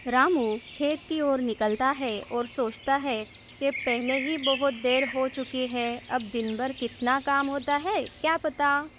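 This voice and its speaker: A woman's voice: high voice, steady tone, slow, background noise. A woman reading a scripted moral story for children as its narrator. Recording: background music under the voice.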